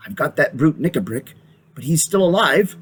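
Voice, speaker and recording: A man's voice: fairly high pitch, lively intonation, normal speed, fairly bright tone, breathy edge, pitched above the performer's own voice. A man voicing a young boy who is out of breath. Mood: breathless.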